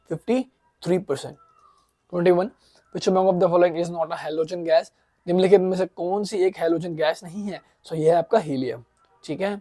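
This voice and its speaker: A man with a high voice, made hoarse by a sore throat, teaches exam-prep chemistry. He reads each question slowly and then quizzes his listeners, answering his own questions. The sound is dry and close, with no room echo. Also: warm tone. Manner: explains in Hindi, reads questions aloud in English, asks and answers his own questions.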